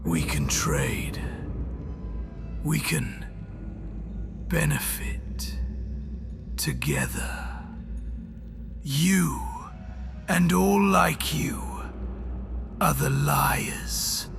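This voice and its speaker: A dying captive traitor in a dark sci-fi audio drama. His voice is a strained, half-whispered rasp, halting and weak yet fervently idealistic and defiant. Music plays underneath. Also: fairly high pitch, lively intonation, voice pushed up, full character voice. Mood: pained defiance.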